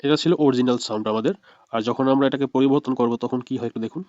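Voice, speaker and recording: A man's voice: mid-range voice, very fast, dull audio. A man narrating a screen tutorial as an instructor, explaining step by step and repeating his points. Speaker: video editing instructor.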